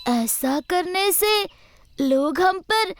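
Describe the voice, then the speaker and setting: A woman's voice: very high voice, expressive, very slow, dry recording, faint music behind, exaggerated voice. A woman voicing an enthusiastic, innocent little schoolboy in an educational cartoon, naive and inquisitive. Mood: keen, innocent. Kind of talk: animated educational cartoon dialogue.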